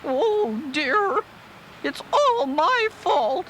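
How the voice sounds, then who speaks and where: A woman voicing an excitable, romantic cartoon cow in a gushing, theatrical way for a children's storybook. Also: extremely high pitch, extremely slow, a little hiss or hum, exaggerated voice, poor audio. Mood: remorseful. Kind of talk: children's read-along storybook acting.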